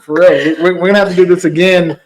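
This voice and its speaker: A man's voice: sits high, steady tone, quick pace, a little hiss or hum, low-quality recording. A man hosting a travel podcast, talking in a hyped, playful way, sitting down with a guest. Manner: hyped, playful, laughs easily. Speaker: podcast host. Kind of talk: casual podcast chat.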